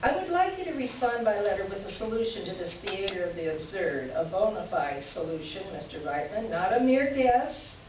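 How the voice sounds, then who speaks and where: A woman's voice: mid-range voice, animated, moderate pace, hardly any pauses, lightly acted. A woman playing an indignant, exasperated writer reading her sarcastic complaint letter aloud in staged reader's theater. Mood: exasperated sarcasm. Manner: pointed, exasperated, dryly sarcastic. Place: indoor stage presentation before an audience. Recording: echoey hall from far off, dull, faint hiss.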